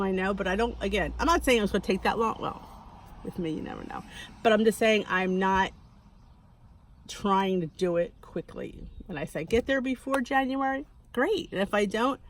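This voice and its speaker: A woman's voice: mid-range voice, slight background noise. A woman in her fifties talks straight to her viewers in a candid, unpolished way. She rambles and restarts, pokes fun at herself, and keeps a mid-range voice throughout. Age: 50s. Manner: candid, rambling, self-deprecating, with frequent restarts. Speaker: weight-loss vlogger. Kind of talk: casual talking-to-camera monologue.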